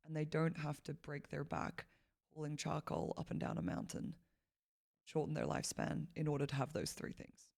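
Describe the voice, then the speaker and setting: Woman with heavy vocal fry, chatty. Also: low-pitched, level tone, normal speed, darkish tone. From Malawi. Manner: rambling, heavy use of "like" fillers, laughs often. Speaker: podcast guest, founder. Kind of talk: casual conversational interview.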